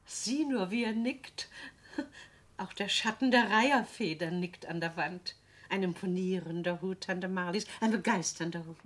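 An actress playing a brisk, bossy woman in a radio play, with a composed, prompting manner. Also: on the low side, a little hiss or hum, close to an everyday voice. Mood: admiring. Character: brisk, bossy woman, deferential to her aunt.

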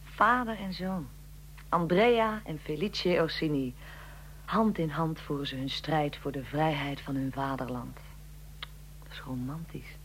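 A woman's voice: low voice, unhurried, a bit bright, a little hiss or hum, poor audio. A woman playing a curious, sympathetic interviewer of historical figures in a radio drama.

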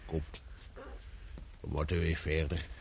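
A dour, cynical labourer in a radio play, with an extremely deep voice. He answers in short, resigned phrases and does not get worked up. There is light noise on the recording. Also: takes it slowly, creaky, heavily acted. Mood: weary, resigned. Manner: laconic, flat, resigned. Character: gloomy, stoical, cynical male labourer. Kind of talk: radio drama acting.

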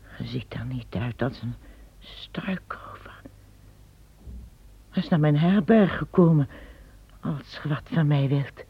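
Weary elderly empress, breathy, slow, dull old lo-fi sound. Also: female voice, low-pitched, expressive, exaggerated voice. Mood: uneasy. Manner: weary and uneasy. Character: Empress Helena, older woman lost as a pilgrim. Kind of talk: radio drama acting. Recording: dull old radio play recording.

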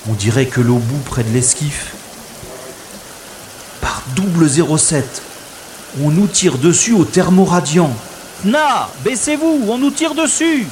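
A man's voice: on the high side, sing-song, a little mellow. A man playing a sardonic special agent on the run in a French audio drama, talking half to himself. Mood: alarmed. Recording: noisy, with rushing water effects.

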